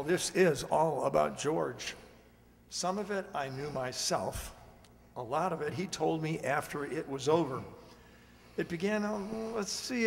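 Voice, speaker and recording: A man's voice: a little high, vocal fry. A man playing a folksy, rambling veteran security guard who narrates a radio play in a hesitant, conversational way. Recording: echoey hall, stage reverb.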